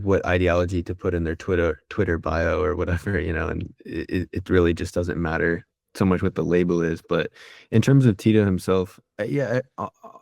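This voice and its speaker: A man's voice: low voice, creaky, darkish tone, dry recording, clean studio sound. A young man co-hosting a podcast, asking long, rambling questions with many fillers. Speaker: podcast co-host.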